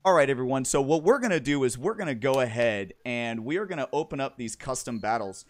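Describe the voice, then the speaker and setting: A man's voice: medium pitch, lively intonation, fast. A man hosting a livestream, interviewing a guest and then calling players into custom matches in an upbeat, directive way. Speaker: stream host.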